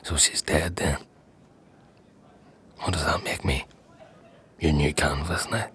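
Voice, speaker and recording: An actor playing a bitter, sardonic, wounded man in an audio drama, speaking in a hushed, breathy voice. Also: fairly even intonation, slight background noise, heavily acted. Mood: probing. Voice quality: breathy, whispery, very deep voice. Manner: slow, hushed, probing and sardonic.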